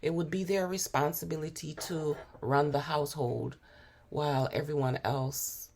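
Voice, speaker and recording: Low, gravelly hoarse woman. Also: fairly even intonation, darkish tone, a little hiss or hum. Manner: unhurried, musing, frequent fillers. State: hoarse with a cold. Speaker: tarot reader. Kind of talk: spiritual tarot reading to camera.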